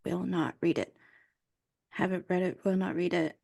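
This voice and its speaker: A woman's voice: fairly low pitch, fairly even intonation, normal speed, creaky, a little mellow, no echo, studio quality. A woman co-hosting a casual podcast game, muttering clues to herself as she thinks. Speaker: podcast co-host. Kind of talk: casual chat, guessing game.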